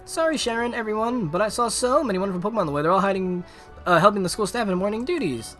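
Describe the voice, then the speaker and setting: A man's voice: high-pitched, lively intonation, racing, fairly bright tone, soft background music, voice pushed up. A man voicing a scatterbrained, cheerful, apologetic teenage girl student from a visual novel game. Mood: flustered, eager.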